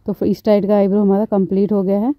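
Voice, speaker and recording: A woman beautician calmly explaining eyebrow threading step by step, as she works, often repeating her phrases. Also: mid-range voice, fast talker, dry recording. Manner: calm, instructive, repeats phrases. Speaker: beautician, channel host. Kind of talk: step-by-step tutorial narration.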